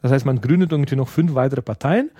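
A man's voice: medium pitch, fast, dark tone, slight background noise. A man from Russia giving an interview in a second language, explaining earnestly and searching for words as he goes. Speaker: interview guest, party activist.

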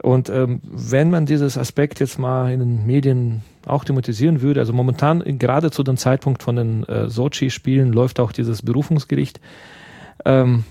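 Earnest foreign-born man, mellow and dry. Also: mid-range voice, fairly even intonation, normal speed, gravelly, dry recording. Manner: earnest, searching for words in a second language. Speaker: interview guest, party activist. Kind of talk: explanatory conversational interview.

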